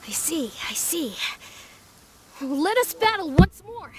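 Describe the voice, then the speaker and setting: A woman's voice: very high voice, sing-song, slow, darkish tone, faint music behind, heavily acted. A woman voicing a courteous, earnest young duellist in an anime video game, formal and composed.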